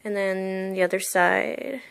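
A woman's voice: medium pitch, fairly even intonation, slow, gravelly, a bit bright, clear, resonant tone. A young woman showing off a costume for sale in her bedroom, talking casually and gushing with lots of "like" and "really, really". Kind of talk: casual show-and-tell vlog. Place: bedroom, indoors.

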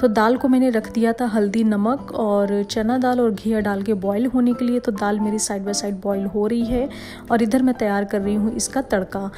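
Young rajasthani woman talking you through a recipe step by step, warm soft tone, faint music behind. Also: mid-range voice, normal speed. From India. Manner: steady explanatory, step-by-step instructions. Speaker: vlogger. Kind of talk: cooking vlog voice-over.

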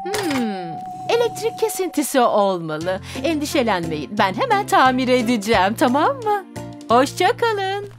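A woman's voice: sits high, unhurried. A woman voicing a friendly young mechanic in a dubbed cartoon. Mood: reassuring. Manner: reassuring, lively. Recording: music under the voice.

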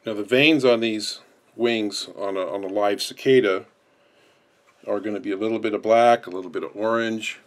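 A man with a low-pitched voice talks through a practical task as an instructor. He explains each step as he goes, in unscripted tutorial narration. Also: steady tone, moderate pace.

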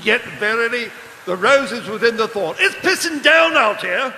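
An impatient, grumbling member of a secret brotherhood, acted by a man for a comic radio play. The voice is high and swoops about bluntly. It comes from an echoey stage hall with hiss. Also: animated, normal speed, pushed and bright, full character voice. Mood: irritated, impatient. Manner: exasperated, blunt, colloquial. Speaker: stage radio-drama actor. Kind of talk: comic live radio drama.

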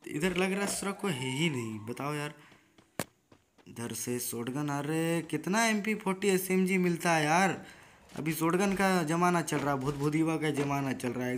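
Hoarse young man with rowdy game commentary. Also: on the high side, a little hiss or hum. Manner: rowdy, joking, trash-talking. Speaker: gaming YouTuber. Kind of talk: live gameplay commentary. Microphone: built-in device mic, no external mic.

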